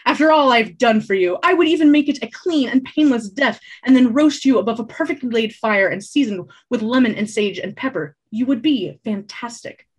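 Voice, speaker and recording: A woman voicing a flamboyant young male bard who speaks in grand, melodramatic flourishes, with a slightly husky tone. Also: on the high side, lively intonation, moderate pace, hardly any pauses, voice pushed up. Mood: grandiose.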